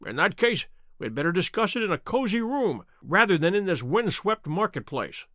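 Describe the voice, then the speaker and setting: A man voicing a shrewd, self-assured gentleman detective in an audiobook, courteous and precise in manner. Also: high-pitched, very animated, normal speed, no echo, studio quality. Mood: smoothly polite. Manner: bland, courteous, confident, precise.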